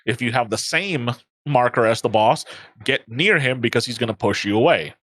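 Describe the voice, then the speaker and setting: A man's voice: mid-range voice, moderate pace, a bit bright, dry recording. Man with a dry sound. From Puerto Rico. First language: Spanish. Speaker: guest gamer. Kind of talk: casual chat on a stream.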